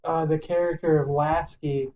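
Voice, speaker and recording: A man's voice: fairly high pitch, fairly even intonation, normal speed, a little mellow, a little hiss or hum, poor audio. A man co-hosting a podcast, reacting eagerly in casual, sweary chat. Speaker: podcast co-host.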